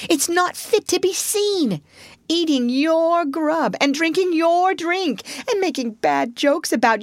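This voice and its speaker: A woman's voice: sits high, dry recording, studio quality, voice pushed up, exaggerated voice. A woman voicing an anxious, scolding male water rat in a storybook reading, giving emphatic warnings.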